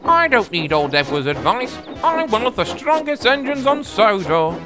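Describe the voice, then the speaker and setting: A proud little steam engine from a children's storybook, acted out by a man, pitched high and swooping with indignation. He is boastful, whiny and quick to exclaim, with music playing behind him. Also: very animated.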